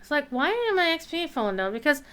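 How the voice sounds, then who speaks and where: A woman's voice: high voice, sing-song, fast, a bit bright. A woman game streamer chatting casually, talking to herself and her viewers as she plays. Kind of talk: casual live gameplay chat.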